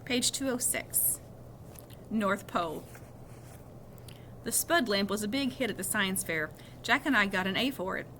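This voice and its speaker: A woman's voice: medium pitch, expressive, unhurried, pauses often, pushed and bright, a little hiss or hum, low-quality recording. A woman reading a children's novel aloud expressively, now and then correcting a small slip. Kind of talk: read-aloud storytelling.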